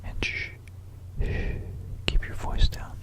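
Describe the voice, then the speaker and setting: An ASMR host narrating an educational piece in a hushed, breathy, unvoiced whisper, unhurried and conspiratorial, close to a stereo microphone. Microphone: close stereo binaural microphone.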